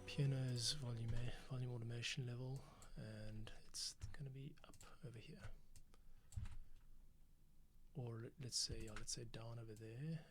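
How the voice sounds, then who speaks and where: A man's voice: mid-range voice, steady tone, unhurried, slight background noise. A man talking informally to himself in a casual running commentary as he composes music, often muttering while he works. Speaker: music composer streaming his work.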